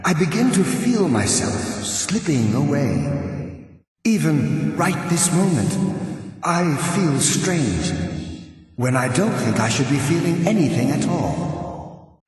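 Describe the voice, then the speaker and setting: Solemn ghost in cavernous reverb. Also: male voice, a little high. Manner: grave, formal, wistful delivery. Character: solemn, remorseful male ghost of a betrayed thief-guardian. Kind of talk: scripted video game dialogue. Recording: cavernous long reverb, distant.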